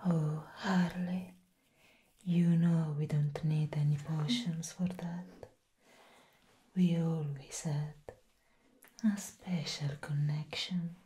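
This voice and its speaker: A woman playing a seductive, sinister plant villainess in a breathy whisper, as soft-spoken ASMR roleplay, slow and deliberate. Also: deep voice, steady tone, full character voice. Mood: tender.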